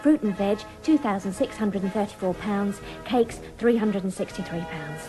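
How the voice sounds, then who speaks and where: An actress as a precise, mid-pitched businesslike woman. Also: moderate pace, music in the background, natural voice, rough recording. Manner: precise, itemising. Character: businesslike woman reading out an inventory. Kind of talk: radio drama dialogue.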